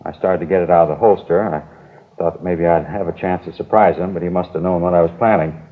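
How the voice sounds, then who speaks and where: Meek bank guard, low voice but talks fast, earnest and deferential, old radio show. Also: male voice, fairly even intonation. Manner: deferential, plain-spoken. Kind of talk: 1950s radio drama acting. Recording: old 1950s radio recording, narrow tinny band.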